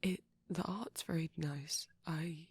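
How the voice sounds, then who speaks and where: A close, dry recording of a man playing a shy young vampire boyfriend in an ASMR roleplay. His voice is soft and breathy with a creaky edge, often dropping to a whisper. He is gentle and nervous, rambling hesitantly and apologetically. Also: fairly high pitch, fairly even intonation, takes it slowly, no room sound, exaggerated voice. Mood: anxious, earnest. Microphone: close microphone.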